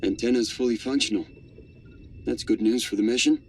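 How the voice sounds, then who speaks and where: An actor playing a calm, dutiful astronaut crewmate in a cinematic sci-fi game, speaking in an understated, matter-of-fact way. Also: male voice, mid-range voice, fairly quick, a little hiss or hum, natural voice. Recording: music behind the voice.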